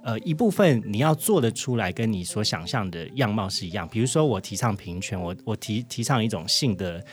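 Expressive Taiwanese-accented man, animated pitch. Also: a little fast, soft background music. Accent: Taiwanese Mandarin. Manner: reflective, candid, expressive. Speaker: podcast guest, interviewee. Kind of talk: conversational interview answers.

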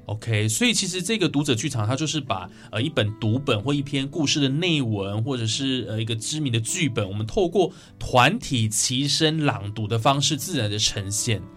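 A man's voice: medium pitch, expressive, normal speed, few pauses, a bit bright, faint music behind. A man with a Taiwanese Mandarin accent hosting a radio interview. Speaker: radio host.

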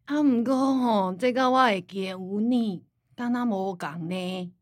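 A woman's voice: mid-range voice, unhurried, no room sound, natural voice. A woman acting a stubborn old Taiwanese grandmother, dry recording. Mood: insistent. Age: older. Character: forgetful old grandmother, plain-spoken, stubborn, scolding. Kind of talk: radio drama acting.

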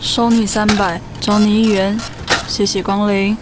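A woman's voice: medium pitch, level tone, measured pace, natural voice. A woman acting an everyday, polite woman in an audio drama, speaking with a Taiwanese Mandarin accent. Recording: clear noise behind the voice.

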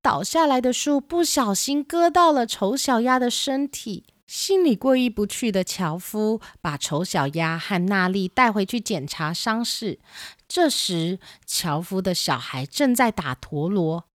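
A woman's voice: medium pitch, lively intonation, measured pace, no room sound, studio quality. A woman reading scripted narration for a children's storybook tale. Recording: music behind the voice.

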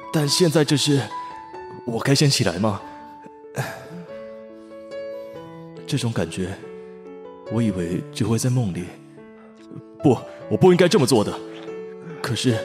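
一名男演员在广播剧里饰演忠心又暗恋少爷的年轻随从，爱用恭敬口吻吐槽，容易慌乱。. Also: male voice, mid-range voice, close to an everyday voice. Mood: flustered, yearning. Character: teasing young male attendant, devoted, secretly lovesick, exasperated. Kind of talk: Chinese audio drama acting.

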